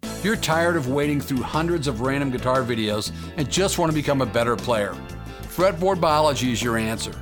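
A man's voice: animated, fairly quick, over music. Persuasive man reading an ad. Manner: confident, persuasive pitch. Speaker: guitar teacher. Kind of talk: scripted ad read.

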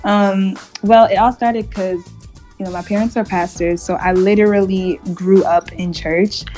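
Woman in her twenties, Canadian accent, from Montreal, medium pitch, candid, chatty, casual interview talk, light music behind. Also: steady tone, a little slow, mellow. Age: 20s. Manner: chatty, candid, laughs easily. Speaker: interview guest, gospel singer.

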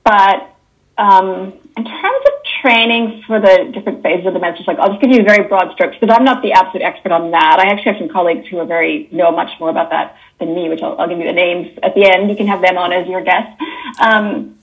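A woman's voice: fairly low pitch, fast talker, rough recording. A woman in her fifties with a Canadian accent, a guest naturopathic doctor chatting casually in an interview, often correcting herself mid-sentence. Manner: chatty, with self-corrections and soft laughs.

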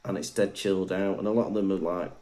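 A man's voice: low-pitched, fairly even intonation, fast talker, warm tone. A young adult man from Wales co-hosting a podcast, chatting casually, telling stories and laughing often. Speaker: podcast co-host. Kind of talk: casual chat.

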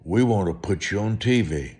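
A man preaching in a personal testimony style, folksy and deliberate, repeating phrases for emphasis. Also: lowish voice, expressive, a little mellow. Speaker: preacher, evangelist.

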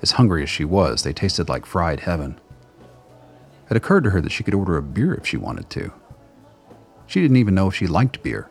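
A man's voice: low-pitched, a little mellow, breathy edge. A man reading scripted third-person narration for a radio play.

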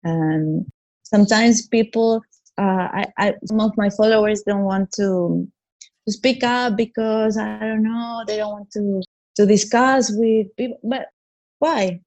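A woman in her forties from Peru speaks as a podcast guest, blunt and straightforward, often laughing. Her voice is gravelly with a mellow, darker tone. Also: medium pitch, measured pace, rough recording. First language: Italian. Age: 40s. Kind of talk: casual interview chat.

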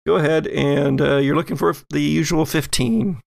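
Gravelly man running a tabletop game. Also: fairly high pitch, fairly even intonation, normal speed. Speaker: game master. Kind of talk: narrating a tabletop role-playing game, semi-improvised.

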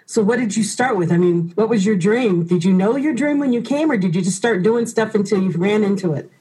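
A woman's voice: mid-range voice, animated, a little fast, warm tone, slightly rough, poor audio. A woman hosting a radio interview, asking friendly and encouraging questions. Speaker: radio show host.

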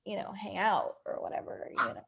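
A woman's voice: mid-range voice, fairly even intonation, fairly quick, gravelly, a bit bright, husky, exaggerated voice. A woman playing a hesitant, needy and friendly bank caller in an improvised comedy sketch. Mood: flustered, needy.

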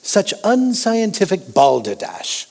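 Husky, swooping, pompous old gentleman. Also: high voice, wildly swooping pitch, takes it slowly, long reverb tail, heavily acted. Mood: indignant. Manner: pompous, blustery. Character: old, crusty, pompous Victorian gentleman doctor. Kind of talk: period audio drama acting.

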